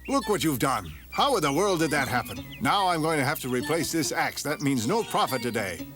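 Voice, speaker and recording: A stern, weary woodcutter father from a fairy-tale cartoon. His voice sits a little high and moves up and down a lot. He grumbles and scolds in a fatherly way over background music. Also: very animated, fairly quick. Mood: scolding. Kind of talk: animated fairy tale dubbing. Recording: music under the dialogue.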